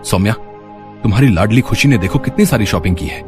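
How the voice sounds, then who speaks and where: A man acts a calm father in a cartoon moral story. His voice is fairly low, with a mild, kindly manner. Music plays clearly underneath. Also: fast talker, lightly acted. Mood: mildly curious.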